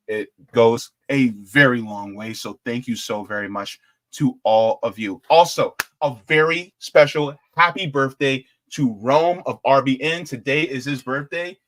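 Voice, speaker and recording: A man's voice: mid-range voice, no room sound. Chatty young man, dry close sound. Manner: chatty and rambling, excitable bursts. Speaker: political commentary host. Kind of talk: casual live-stream sign-off chat.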